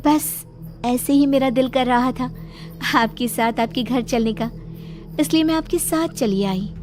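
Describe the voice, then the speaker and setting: A woman's voice: high-pitched, a little slow, darkish tone, soft background music, pitched above the performer's own voice. Woman putting on a seductive, vengeful female ghost. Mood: coy, flirtatious.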